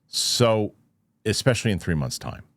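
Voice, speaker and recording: Low-voiced man, skeptical halting commentator. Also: very animated, a bit bright, no room sound. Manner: halting, thinking aloud, rhetorical questions. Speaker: show host and commentator. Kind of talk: casual off-the-cuff news commentary to viewers.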